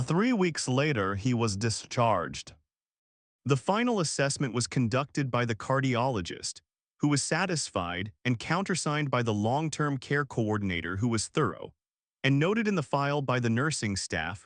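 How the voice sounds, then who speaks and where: A man narrating a science fiction story in a measured, even storytelling manner. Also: mid-range voice, dry recording, clean studio sound. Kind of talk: scripted audiobook narration.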